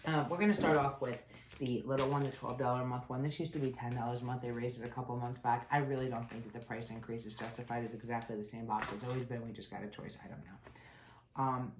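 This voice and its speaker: A woman reviewing beauty subscription boxes in a casual, chatty way, sounding nasal from a cold. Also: rumbling low. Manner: chatty, candid, grumbles about packaging. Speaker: beauty vlogger. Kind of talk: casual unboxing chat. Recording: echoey room with faint noise.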